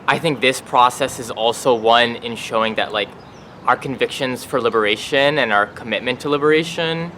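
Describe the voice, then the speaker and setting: A man's voice: mid-range voice, sharp tone, slight background noise. A man speaking informally and earnestly off the cuff, repeating phrases for emphasis.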